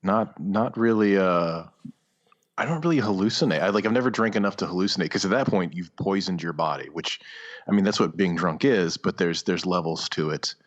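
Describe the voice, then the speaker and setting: A man's voice: low-pitched, fairly quick, highs cut off. A man co-hosting a podcast, chatting casually in a hesitant, offhand, dryly self-deprecating way. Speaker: podcast co-host. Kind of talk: casual chat.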